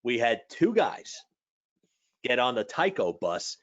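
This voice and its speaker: A man's voice: mid-range voice, very animated, slow. A man chatting casually as a co-presenter, telling anecdotes in an informal, easygoing way. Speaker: co-presenter, pump company trainer. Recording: dull lo-fi online call audio.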